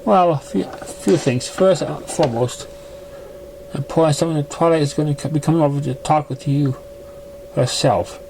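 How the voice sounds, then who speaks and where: Earnest young pony for a storybook reading, voiced by a man: higher-than-average voice, halting and concerned, faintly noisy recording. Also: gravelly, darkish tone. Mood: hesitant.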